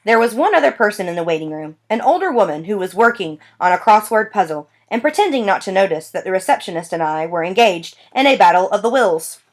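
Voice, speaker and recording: A woman reading a children's novel aloud, with expressive, animated storytelling. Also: medium pitch, fast talker, few pauses. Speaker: reader.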